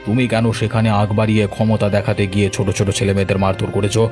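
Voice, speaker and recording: A man's voice: lowish voice, flat delivery, racing, natural voice. A man playing a stern, authoritative village headman in a cartoon, speaking formally. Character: stern, measured male village headman and judge. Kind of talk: dubbed cartoon dialogue. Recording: music bed behind the voice.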